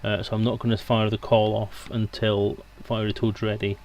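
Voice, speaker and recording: A man's voice: lowish voice, flat delivery, normal speed, a little mellow. Informal male streamer over noticeable background noise. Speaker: live stream host. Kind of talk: casual livestream chat.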